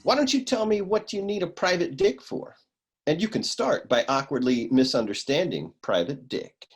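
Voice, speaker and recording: A man acts as a cocky private detective in a noir radio comedy. His voice is husky and swings noticeably up and down, with a cool, lecherous, deadpan swagger. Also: on the high side, normal speed, faint music behind. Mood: smug, deadpan. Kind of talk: comic noir radio play acting.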